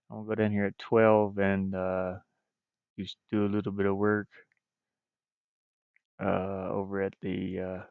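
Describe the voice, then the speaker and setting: Low, gravelly man chatting on a stream. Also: no room sound. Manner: hesitant, halting, frequently trailing off. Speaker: game developer livestreaming. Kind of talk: casual stream chat. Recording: muffled, dull low-fidelity stream audio.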